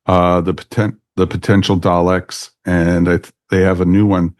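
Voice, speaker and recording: Man, low-pitched voice, podcast host, casual rambling fan chat, close dry sound. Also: flat delivery, moderate pace, a little mellow, dry recording.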